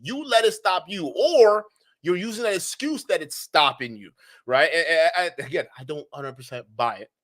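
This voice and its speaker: Man, slight nigerian accent, live-stream commentary host, mocking, laughs a lot, bright voice. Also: a little high, expressive, moderate pace. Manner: mocking, laughs often, many restarts.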